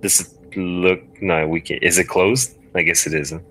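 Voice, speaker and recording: A man with a deep, low voice plays along in a story game. He speaks casually and a little haltingly, working out his moves aloud as a guest player. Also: fairly even intonation, fairly bright tone, slight background noise. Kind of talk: casual improvised game play.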